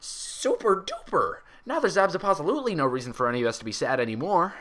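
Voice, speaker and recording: A man's voice: a little high, sing-song, fast talker, sharp tone, pitched above the performer's own voice. A man voicing a bubbly, childlike female cartoon pony who gushes with exaggerated cheer, in a story reading. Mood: exuberant.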